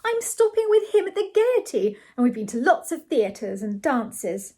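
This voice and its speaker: A woman's voice: on the high side, expressive, measured pace, mellow, slightly rough. A woman voicing a peevish, haughty young lady in a comic storybook, with a huffy, sneery manner. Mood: huffy, annoyed. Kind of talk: comic storybook character reading.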